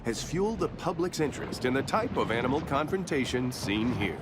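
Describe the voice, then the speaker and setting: A man playing a sardonic, mock-serious wildlife documentary narrator in a video game, with a deadpan, grandiose delivery. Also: mid-range voice, fairly quick, very noisy, lightly acted. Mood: deadpan, wry. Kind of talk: scripted nature documentary parody narration. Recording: loud music over the voice. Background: loud music.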